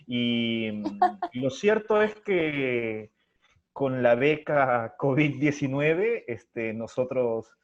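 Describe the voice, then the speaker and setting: Middle-aged male interview guest, Peruvian Spanish accent, reflective storyteller, mid-pitched voice on muffled lo-fi audio. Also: very animated, drawn-out words, slight background noise. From Chiclayo, Peru. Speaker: theatre artist and interview guest. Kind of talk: conversational interview answers. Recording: dull, lo-fi audio.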